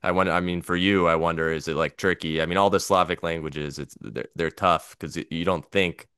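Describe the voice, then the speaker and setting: Young american man, podcast host, rambling chatty questions, deep voice, smooth clear sound. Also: steady tone, brisk, fairly bright tone, dry recording, studio quality. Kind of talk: casual interview.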